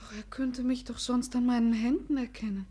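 An actress playing a spirited, wilful young gentleman's daughter in a radio comedy, coy and playful. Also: fairly high pitch, steady tone, brisk, breathy edge. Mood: excited, confiding. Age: young adult.

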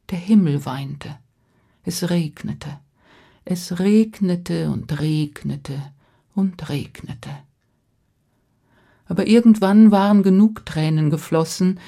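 A woman tells a fairy tale in a slightly low, husky voice. She takes her time, with dramatic pauses and repeated phrases. For suspense she drops into a hushed, whispery tone. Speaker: storyteller. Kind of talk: freely told fairy tale narration.

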